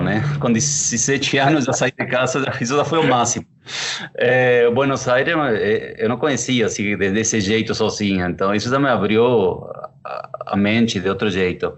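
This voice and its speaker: Man, Argentine accent, 30s, chef, relaxed thoughtful speech, lots of fillers. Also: on the low side. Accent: Argentine Spanish. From Córdoba, Argentina. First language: Spanish. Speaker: chef and pizzeria partner. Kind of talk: interview answers.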